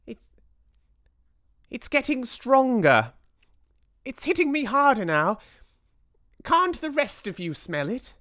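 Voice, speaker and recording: A storyteller voicing an excitable, keen-nosed female witch in a shrill, urgent, halting way. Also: no room sound, clean studio sound, exaggerated voice. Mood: excited, urgent.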